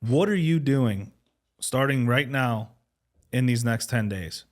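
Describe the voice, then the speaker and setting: A man's voice: mid-range voice, lively intonation, slow. A man in his thirties hosting a casual podcast. He talks conversationally and often trails off mid-thought. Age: 30s. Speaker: podcast host.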